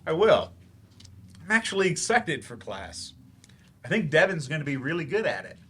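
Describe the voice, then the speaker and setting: A man's voice: fairly high pitch, very animated, moderate pace, fairly bright tone, a little hiss or hum. Man acting an earnest, wry English teacher. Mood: earnest, subdued. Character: earnest, wry male writer turned remedial English teacher. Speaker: actor in a staged play reading. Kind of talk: stage play reading. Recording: live staged reading in a library.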